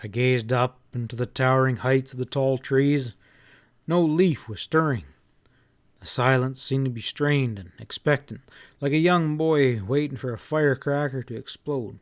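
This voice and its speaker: A man reading an audiobook as a first-person narrator, in an expressive, folksy storytelling manner. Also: mid-range voice, moderate pace.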